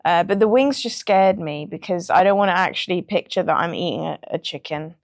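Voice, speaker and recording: A woman's voice: on the low side, brisk, no echo, clean studio sound. A young British woman, a music producer, chatting casually in an interview with plenty of ums. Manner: relaxed, chatty, frequent ums and laughs.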